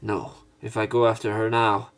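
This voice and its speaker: A man's voice: fairly low pitch, little pitch movement, normal speed. Casual man narrating over music. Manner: casual, sweary interjections between read lines. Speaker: let's play host. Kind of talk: reading visual novel text aloud with casual commentary.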